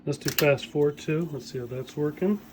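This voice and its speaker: A middle-aged man giving a casual hands-on demonstration of equipment he repairs, speaking off the cuff with hesitant pauses as he works. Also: on the high side, loud music behind the voice. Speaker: hobbyist audio equipment repairer demonstrating a tape deck.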